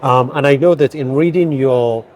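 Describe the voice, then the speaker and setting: A man's voice: medium pitch, moderate pace, darkish tone. Curious Nigerian-born male interviewer. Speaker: interviewer, host. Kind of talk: conference interview conversation. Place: busy tech conference.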